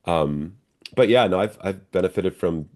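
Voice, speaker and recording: A man's voice: deep voice, moderate pace, a little mellow. A middle-aged man, an actor, answering interview questions in a reflective, conversational way. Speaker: stage and screen actor, interview guest.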